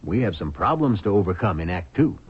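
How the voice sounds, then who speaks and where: A man hosting a radio drama with measured, theatrical scripted narration, posing rhetorical questions in a ruminative way. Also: deep voice, sing-song, noisy background. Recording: dull lo-fi radio broadcast with music. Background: music.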